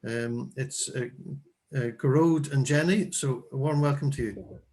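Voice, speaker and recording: An Irish man hosts a relaxed chat, his talk full of stammers, ums and false starts. He sounds busy with cooking as he talks, and his voice is of middling pitch. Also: unhurried, husky. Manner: hesitant, stammering, lots of fillers. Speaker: host. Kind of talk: casual chat.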